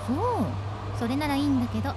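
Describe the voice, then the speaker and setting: A woman's voice: high-pitched, fairly even intonation, fast, noisy background, close to an everyday voice. A woman playing a frank, short-tempered, protective grown-up guardian in a radio drama, with a bossy, teasing manner. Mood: nosy, teasing. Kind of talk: Japanese amateur radio drama acting.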